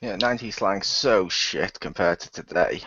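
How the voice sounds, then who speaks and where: A man with a husky, rough-edged voice chats and jokes casually as a co-host. The recording is dry and a little dull. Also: deep voice, normal speed, bright, forceful tone, dry recording. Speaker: co-creator and co-host. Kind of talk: casual joking chat.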